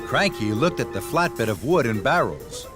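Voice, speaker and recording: A man's voice: medium pitch, sing-song, moderate pace, music in the background. A man narrating a scripted children's story as the storyteller.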